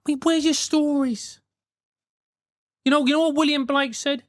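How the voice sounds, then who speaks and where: A man's voice: very high voice, fairly even intonation, moderate pace, no echo, studio quality. A man with a South London accent, a host giving advice in a casual, emphatic rant, swearing freely.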